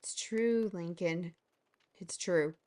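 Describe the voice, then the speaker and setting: A quick-witted heroine in a fantasy audio drama, voiced by a woman. She talks slowly and unhurriedly. Her lines carry a dry, sarcastic edge. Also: on the low side, darkish tone. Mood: wry. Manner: wry, dryly sarcastic.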